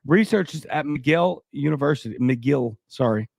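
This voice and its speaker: A man's voice: a little high, animated, unhurried, gravelly, no echo. A man with a Cajun accent hosting a casual livestream, reading news and viewer comments with skeptical, rambling asides. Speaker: livestream host.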